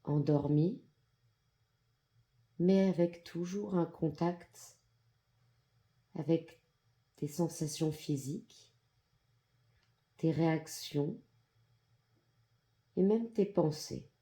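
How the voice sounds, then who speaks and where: An androgynous voice guides a yoga nidra practice. The tone is extremely mellow and relaxed, and the delivery is slow and calm. Short, soothing instructions come in measured phrases, separated by generous pauses. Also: mid-range voice. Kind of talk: guided meditation, soft instructional narration.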